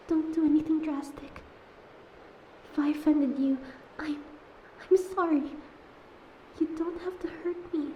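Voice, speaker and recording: A woman playing a young captive mermaid, proud but wounded and wary, speaking haltingly and emotionally in a fantasy audio drama. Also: very high-pitched, dark tone, muffled recording. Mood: frightened, pleading. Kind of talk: scripted fantasy audio roleplay. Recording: echoey tank-like reverb, faint ambience noise.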